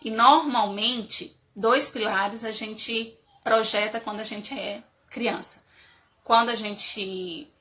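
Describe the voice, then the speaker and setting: A woman's voice: fairly high pitch, slow pace, sharp tone. A woman with a cold and a nasal voice, giving an informal motivational talk and asking her audience many rhetorical questions. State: has a cold. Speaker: speaker giving a live talk on life stages.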